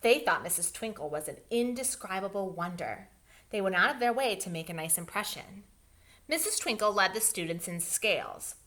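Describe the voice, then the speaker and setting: Bright, very animated woman reading a storybook. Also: mid-range voice. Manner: expressive, pauses to explain words to young children. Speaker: elementary school teacher reading aloud. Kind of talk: animated children's storybook read-aloud.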